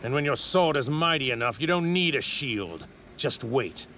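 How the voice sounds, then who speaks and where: Pompous, gravelly anime villain. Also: male voice, mid-range voice, expressive, moderate pace, sharp tone. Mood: smug, menacing. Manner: pompous, grandiose, taunting, savoring words. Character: arrogant divine villain in a stolen warrior body. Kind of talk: theatrical anime dub villain acting. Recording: ripped voice track with clear background noise.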